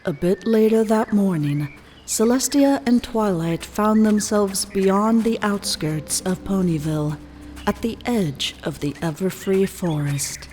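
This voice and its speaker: A woman's voice: mid-range voice, measured pace, a little mellow, slight background noise. A woman reading scripted story narration as the narrator of a fan fiction. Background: music.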